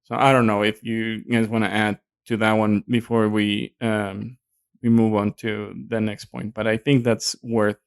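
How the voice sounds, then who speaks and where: A man's voice: lowish voice, level tone, measured pace, creaky, clean tone, no echo, studio quality. A man with a Mexican accent co-hosting a podcast, talking casually with many fillers and hesitations. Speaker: podcast co-host. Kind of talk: casual chat.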